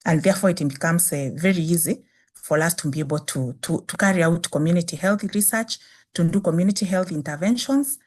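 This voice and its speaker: A woman with a Kenyan accent, a native Swahili speaker, giving a measured webinar presentation on nursing with frequent restarts. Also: lowish voice, darkish tone. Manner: measured, repeats phrases with restarts. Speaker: guest speaker, nursing dean.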